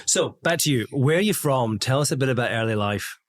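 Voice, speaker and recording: A man with a middle-pitched voice hosts an interview podcast. He talks in a chatty, easygoing way, with many ums and restarts as he asks his questions. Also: expressive, a little fast. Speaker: podcast host, recruiter. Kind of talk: conversational interview.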